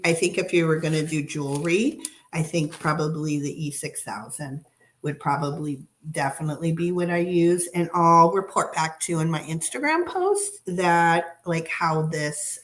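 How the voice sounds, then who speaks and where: A woman hosting a casual live craft chat from her home, slightly hoarse and rambling with many fillers and restarts. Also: fairly low pitch. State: slightly hoarse, froggy throat. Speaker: craft channel host. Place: home dining room craft table.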